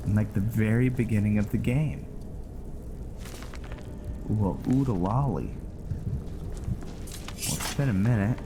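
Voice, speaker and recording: Slow, deep-voiced man narrating his gameplay. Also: noisy background. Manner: musing, rambling, talking to viewers. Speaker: gaming channel host. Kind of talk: casual game roleplay commentary. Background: game music.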